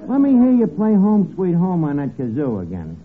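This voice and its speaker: A man's voice: high-pitched, huge pitch swings, normal speed. A man playing a brash, scheming tavern manager who wisecracks and bosses people in slangy old-time radio comedy. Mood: wheedling, sly. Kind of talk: 1950s radio comedy acting. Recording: noisy, tinny vintage radio recording.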